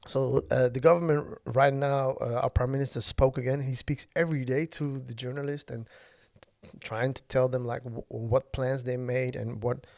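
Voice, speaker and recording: A man in his thirties, from Ethiopia and a native Somali speaker, hosting a casual solo podcast and speaking haltingly with many fillers. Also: medium pitch, fairly even intonation, creaky, darkish tone, no room sound, studio quality. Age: 30s. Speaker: podcast host.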